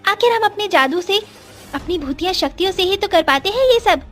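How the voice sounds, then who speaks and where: Woman acting as earnest ghost daughter, extremely high pitch, sharp bright tone, storybook drama. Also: animated, brisk. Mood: chatty. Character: young ghost nomad girl, earnest daughter. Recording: music under the voice. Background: music.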